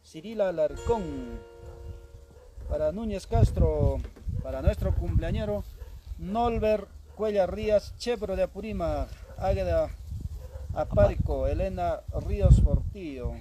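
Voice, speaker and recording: A man's voice: high-pitched, takes it slowly, background noise. A man hosting a live music broadcast, reeling off long lists of greetings and dedications with a friendly, easygoing "pues". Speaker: host of an online music broadcast. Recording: loud music playing under the voice.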